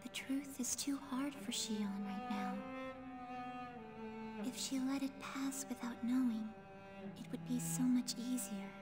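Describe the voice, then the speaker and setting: A woman playing a gentle, sorrowful otherworldly girl in a game cutscene, speaking in a soft, breathy, measured voice. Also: fairly high pitch, steady tone, music in the background. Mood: wistful. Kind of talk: dramatic video game cutscene acting.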